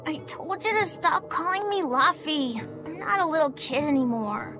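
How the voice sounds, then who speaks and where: A woman's voice: high-pitched, expressive, moderate pace. A woman playing an earnest, worried young boy in a game cutscene, with a light, childlike voice. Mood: protesting. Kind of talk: dramatic game cutscene acting. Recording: background music under voice.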